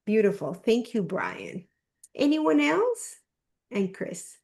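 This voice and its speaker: Spanish-accented woman on a slow video call. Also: mid-range voice, wildly swooping pitch, creaky, darkish tone. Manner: encouraging, repeats herself, many fillers. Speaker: discussion group host. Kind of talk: casual group video call.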